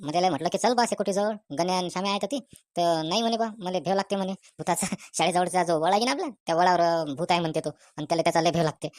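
A woman voicing an excitable, superstitious young village man with a rural Vidarbha Marathi accent in comic cartoon dialogue. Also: lowish voice, rapid-fire, no echo, close to an everyday voice. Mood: gossipy.

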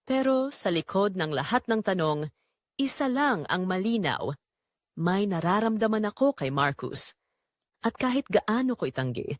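A guilt-ridden young woman, played by a voice actress, tells of forbidden love as an audio drama confession. Her mid-pitched voice moves expressively up and down, full of emotion. The sound is dry and close, with no room around it. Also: no echo, lightly acted. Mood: torn, confessional. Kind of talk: dramatic first-person audio drama narration in Tagalog.

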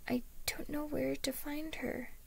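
A woman voicing a timid six-year-old boy, lost and frightened, with a small breathy voice and stammering, hesitant speech in a story reading. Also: high-pitched, slow pace, a little mellow, voice pushed up, exaggerated voice, poor audio. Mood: whimpering, scared.

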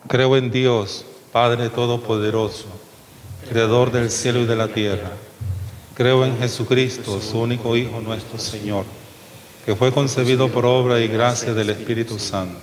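A middle-aged man, a bishop presiding at Mass, preaching and leading prayers in a measured, solemn way. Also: medium pitch, steady tone. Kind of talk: homily and liturgical prayers. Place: chapel with altar, indoors. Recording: long chapel reverb, faint noise.

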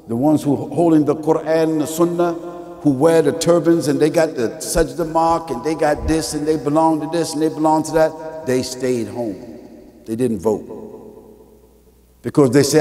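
An older man, giving a mosque lecture, firing rhetorical questions at the audience and swinging from loud to quiet. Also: mid-range voice, big-room sound. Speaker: Islamic preacher. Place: large mosque hall, seated in armchair. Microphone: stand mic and lapel mic.

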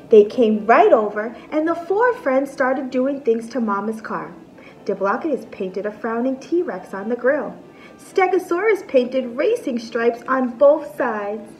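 A woman in her thirties reading a picture book aloud to children like a teacher, expressive and deliberate. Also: high-pitched, a little hiss or hum, low-quality recording. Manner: animated, deliberate, explains terms simply. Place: small decorated room at home, indoors.

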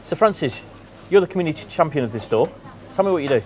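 A man presenting and interviewing shop owners in brisk, upbeat questions. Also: fairly high pitch, animated, a little mellow, slight background noise. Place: shops and community hall, indoors.

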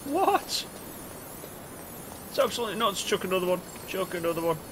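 A man's voice: high-pitched, very animated, normal speed, noisy background. A man hosting a gaming channel, chatting and exclaiming as he comments on his gameplay. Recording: game music behind voice.

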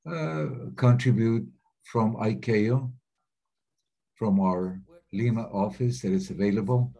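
Low husky male interpreter. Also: slow pace, warm tone. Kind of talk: simultaneous interpretation of webinar talks. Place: remote webinar.

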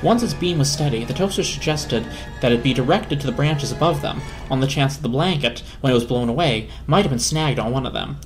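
A man reads a storybook aloud as narrator, in a middle-pitched voice. The delivery is dramatic, with faint music behind. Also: brisk.

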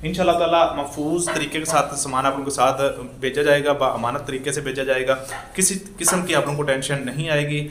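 A young man from Pakistan, filming himself on a phone at arm's length in a small workshop. He gives an earnest, repetitive sales pitch with many fillers. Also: steady tone, brisk, fairly bright tone, slight background noise. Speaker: small business owner promoting machines. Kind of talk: selfie sales vlog. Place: small workshop and shop indoors. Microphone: phone held at arm's length.

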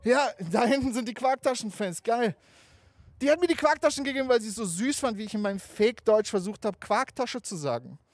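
Swearing, animated stand-up comedian. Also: male voice, extremely high pitch, a little fast, no echo. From Afghanistan, raised in Germany. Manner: animated, swearing, conversational storytelling. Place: outdoor rooftop stage. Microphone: handheld mic.

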